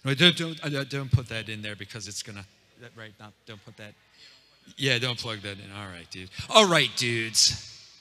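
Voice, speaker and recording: Loud, pumped-up man in a reverberant room. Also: medium pitch, moderate pace. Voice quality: loud, energetic. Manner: hyped, pumped-up delivery. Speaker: speaker at a meetup, channel host. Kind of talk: live talk to a crowd. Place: meetup room.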